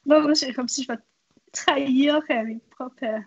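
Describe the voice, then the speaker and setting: A young woman from northwest New Brunswick with a Québécois-like French accent. Her voice is husky and fairly high, recorded dry and dull. She talks in a chatty, easygoing way. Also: normal speed, dry recording. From northwest New Brunswick, Canada. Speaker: guest. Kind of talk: casual conversational chat.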